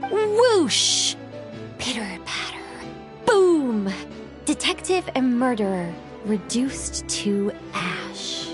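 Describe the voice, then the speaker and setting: An eccentric lady writer from a video game, with a fairly high voice. She speaks in formal, theatrical phrases with lofty self-assurance, over faint music. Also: sing-song, extremely slow, heavily acted. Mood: dramatic, lofty. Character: eccentric, theatrical woman novelist with grandiose schemes.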